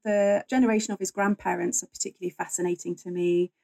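Woman historian with a creaky edge. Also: fairly low pitch, fairly even intonation, quick pace, darkish tone, dry recording. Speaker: guest author and historian. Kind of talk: conversational history interview.

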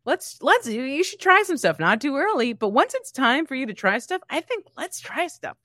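Animated woman bantering on a podcast. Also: high voice, moderate pace, dry recording, studio quality. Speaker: podcast co-host. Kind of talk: casual chat.

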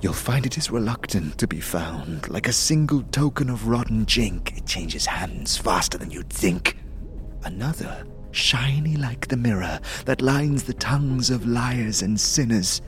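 A man playing a furtive, pleading cultist in an audio drama, urgent and repetitive, speaking in riddles and hushed whispers. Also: medium pitch, lively intonation, unhurried, faint music behind, natural voice. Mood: anxious, cryptic. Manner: urgent, repetitive, singsong rhyming.

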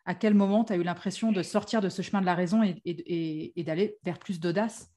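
A woman hosting a podcast interview, friendly and quick to correct herself mid-question. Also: on the low side, steady tone, mellow, slight background noise. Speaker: podcast host.

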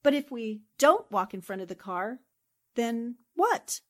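A woman acting a plucky, quick-witted newspaperwoman in a 1940s-style radio drama, earnest and emphatic. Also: on the high side, very animated. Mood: defiant, tense.

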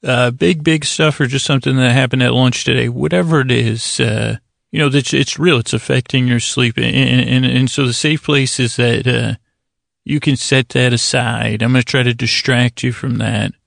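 A man with a creaky, gravelly voice hosts a sleep podcast. He meanders through a halting monologue, trailing off and doubling back on his phrases. The sound is dry, with no room around the voice. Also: mid-range voice, steady tone, a bit bright, no echo. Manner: halting and digressive, trailing off and circling back on words. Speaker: host of a bedtime story podcast. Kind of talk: rambling, meandering solo podcast monologue meant to lull listeners to sleep.

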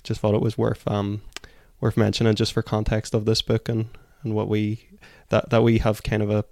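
A man's voice: lowish voice, monotone, normal speed, darkish tone, no room sound, clean studio sound. A man with a Derry, Northern Irish accent, co-hosting a book podcast in casual conversation. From Derry, Northern Ireland. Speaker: podcast co-host. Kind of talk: casual book club discussion.

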